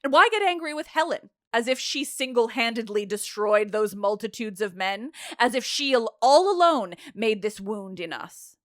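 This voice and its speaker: A woman's voice: fairly high pitch, animated, moderate pace, few pauses, fairly bright tone, dry recording. A defiant queen out of ancient tragedy, put on by a woman telling the tale. She speaks with scorn and vengeful drama. The sound is dry and close. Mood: scornful, defiant.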